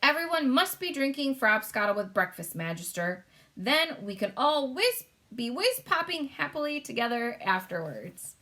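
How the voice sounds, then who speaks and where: A woman's voice: high voice, very animated, moderate pace, pushed and bright, pitched above the performer's own voice, heavily acted. A woman voicing a big, friendly male giant from a storybook, childlike and boisterous, exclaiming in garbled words. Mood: eager.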